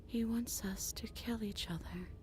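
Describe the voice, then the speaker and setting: A woman playing an eerie, serene princess creature in a horror game, speaking in a breathy, hushed and deliberate way with quiet defiance. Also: a little high, steady tone, noisy background, lightly acted. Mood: wary. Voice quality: breathy, whispery. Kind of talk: voiced visual novel horror acting.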